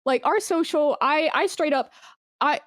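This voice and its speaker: A woman chatting casually as an interview guest, telling work stories in a candid, slangy, animated way. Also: high voice, unhurried, no room sound, studio quality. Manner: candid, animated storytelling with slangy asides and acted-out quotes. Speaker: esports brand manager, podcast guest.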